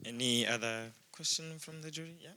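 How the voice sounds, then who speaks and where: A man's voice: medium pitch, a little fast, bright, forceful tone, slight background noise. A man moderating a live event as the session host, introducing and directing speakers.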